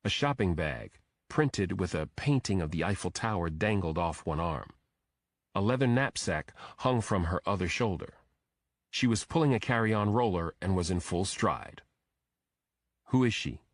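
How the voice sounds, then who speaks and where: A man's voice: deep voice, expressive, normal speed, no echo. A man reading a scripted thriller audiobook as its narrator.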